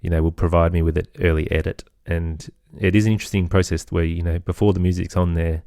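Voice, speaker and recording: Very deep, creaky Australian man. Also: little pitch movement, fast, mellow, no room sound, studio quality. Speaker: podcast guest, musician and composer. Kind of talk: conversational interview.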